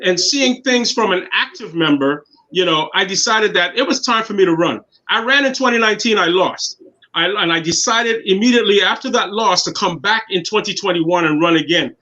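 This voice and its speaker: Man in his 50s, interview guest, bright voice, muffled lo-fi sound. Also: high-pitched. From Guyana. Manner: earnest, repeats words while finding his thoughts. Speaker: guest, city councilman. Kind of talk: casual interview talk. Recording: dull lo-fi sound.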